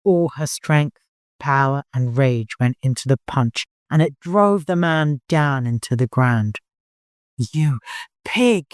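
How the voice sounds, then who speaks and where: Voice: medium pitch, measured pace, clear, resonant tone, no room sound, clean studio sound. An audiobook narrator reading scripted fiction in a dramatic storytelling style.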